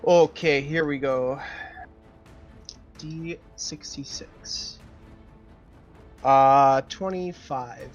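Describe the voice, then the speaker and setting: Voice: mid-range voice, very slow, long pauses, lo-fi sound. A player in a tabletop roleplaying game, chatting casually and expressively at the table. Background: background music.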